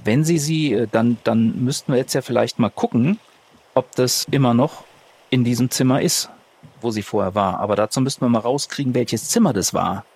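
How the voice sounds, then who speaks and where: A man's voice: mid-range voice, normal speed, a little mellow, breathy edge. A man casually improvising as a player in a tabletop roleplaying game.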